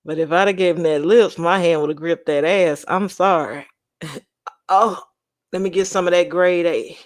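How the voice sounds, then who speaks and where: A woman's voice: fairly low pitch, normal speed, no room sound, studio quality. A young woman from Texas with a Louisiana-tinged accent, chatting bluntly and sassily with viewers on her livestream. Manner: sassy, blunt, laughing, slangy storytelling. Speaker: livestream host. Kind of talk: casual chat with live viewers.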